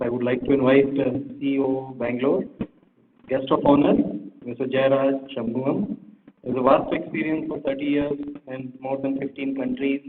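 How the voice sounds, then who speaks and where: A man's voice: mid-range voice, a little hiss or hum. A man acting as master of ceremonies, introducing speakers with hesitant fillers. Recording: distant, echoey hall over tinny PA.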